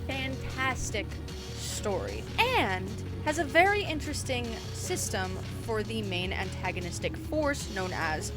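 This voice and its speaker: A woman's voice: a little high, very animated, a little slow, over music. A woman narrating a scripted video essay with wry, informal asides and emphatic phrasing.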